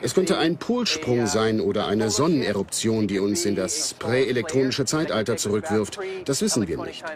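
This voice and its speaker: A man's voice: fairly low pitch, animated, normal speed, hardly any pauses, a crowd in the background, lightly acted. A man explaining as an interviewed expert in a scripted documentary voice-over.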